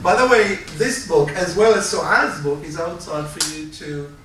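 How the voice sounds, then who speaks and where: A man's voice: a little high, measured pace, a bit bright. A man moderating a literature panel, speaking hesitantly with many fillers and friendly asides. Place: public library event hall. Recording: echoey hall, distant mic, audible noise.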